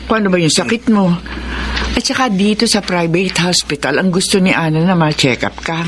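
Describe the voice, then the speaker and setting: A woman's voice: on the low side, fairly quick, background noise, natural voice. An actress playing a patient wife who reassures her sick husband, in classic radio drama style. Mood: reassuring.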